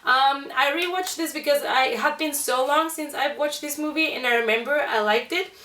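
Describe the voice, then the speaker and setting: A woman's voice: sits high, moderate pace, sharp tone. A woman chatting casually to viewers as a vlog host, enthusiastic and talkative. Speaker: video host showing a convention haul. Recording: roomy echo, distant microphone.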